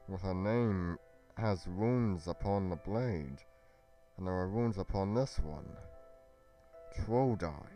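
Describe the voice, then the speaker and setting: A man playing a solemn, noble young warrior in a fantasy roleplay, speaking deliberately and portentously with halting pauses. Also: deep voice, dark tone. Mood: proud, resolute. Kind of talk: dramatic roleplay monologue.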